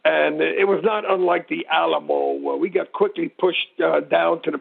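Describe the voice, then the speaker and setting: Gravelly man on a phone-like line. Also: on the high side, fairly even intonation, normal speed. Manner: rambling, digressive storytelling. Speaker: interview guest, military history expert. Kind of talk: informal historical explanation. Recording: muffled narrow phone-like line.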